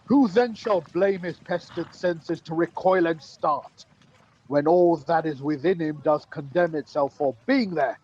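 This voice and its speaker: A man's voice: a little high, measured pace, darkish tone. An amateur actor playing an earnest rebel nobleman in a Shakespearean radio play, declaiming his lines. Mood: earnest, grave. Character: earnest Scottish noble rebel discussing the enemy. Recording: muffled lo-fi video-call audio.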